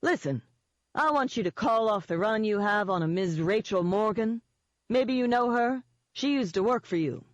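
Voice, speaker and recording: A woman's voice: mid-range voice, lively intonation, moderate pace, no room sound, dull audio, pitched above the performer's own voice, lightly acted. A woman voicing a smug, gloating man in an audiobook, cheerfully taunting and firmly in control. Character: smug, gloating man wheeling and dealing by phone.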